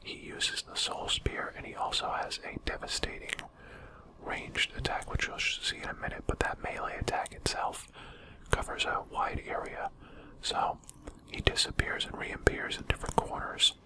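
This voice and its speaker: A man's voice: very high voice, steady tone, rough recording. Whispering man doing ASMR game commentary. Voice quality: breathy unvoiced whisper. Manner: quiet, unhurried, explanatory. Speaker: host of a gaming channel.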